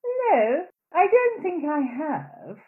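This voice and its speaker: A woman's voice: high-pitched, very animated, extremely slow, full character voice. An actress playing a prying, gushing society woman in a radio play, with an affected, arch delivery. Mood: nosy, arch. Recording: thin phone-like sound.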